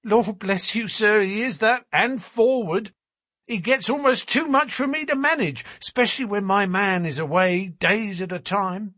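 A man's voice: high voice, measured pace, pushed and bright, no echo, clean studio sound, voice pushed up, full character voice. A man voicing a stout working-class wife in an audiobook, garrulous, anxious and scolding, with colloquial, deferential talk. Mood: chatty, respectful. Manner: chatty and colloquial, deferential with frequent "sir". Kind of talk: audiobook character voice.

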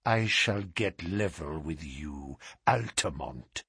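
A male narrator plays a strong, defiant German prisoner in a classic detective story. The voice is husky and swings widely in pitch, full of bitterness and fury, recorded close and dry. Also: animated, sharp tone, no room sound, exaggerated voice. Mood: bitter, menacing. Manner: slow deliberate threats breaking into anguished cries. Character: captured German spymaster, strong, furious, bitter, defiant man.

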